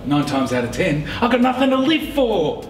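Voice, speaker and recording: A middle-aged man playing a teacher in an amateur short film, speaking in a blunt, deadpan way. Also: high voice, moderate pace, over music. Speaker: teacher character. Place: school corridor and classroom. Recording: echoey room with faint hiss.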